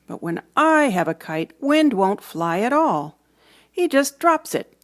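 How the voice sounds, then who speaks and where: A woman reading a storybook aloud for children, with dramatic emphasis and hushed moments. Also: on the high side, very animated, takes it slowly. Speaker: storybook reader. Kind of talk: expressive children's read-aloud narration.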